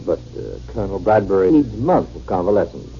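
An actor playing an earnest, cautious junior colleague in a 1950s radio drama. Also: male voice, deep voice, very animated, a little fast, creaky, dark tone, heavily acted. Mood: cautious, concerned. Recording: dull, noisy old 1950s radio recording.

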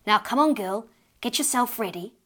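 A woman voicing a cross, weary mother who scolds her child in a sharp, stiff voice. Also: fairly high pitch, expressive, normal speed. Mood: scolding.

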